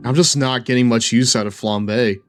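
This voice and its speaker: A man's voice: mid-range voice, fairly even intonation, moderate pace. Male game streamer, casual commentary, mid-range voice, occasional stammer, faint music behind. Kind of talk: casual live gameplay commentary.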